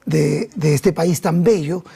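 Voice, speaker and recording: A man's voice: fairly high pitch, slow pace, gravelly, warm tone, breathy edge. A man with a Peruvian accent, a pastor interviewed as a guest, recalling his childhood and often repeating words as he searches for them. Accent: Peruvian Spanish. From Peru.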